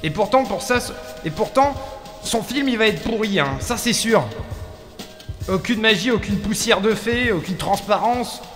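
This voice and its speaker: A man's voice: high voice, measured pace, a bit bright. A man acting a bitter, weary film set decorator in a radio play monologue, sardonic and repetitive, theatrical in delivery. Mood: bitter, scornful. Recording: long reverb, faint music behind.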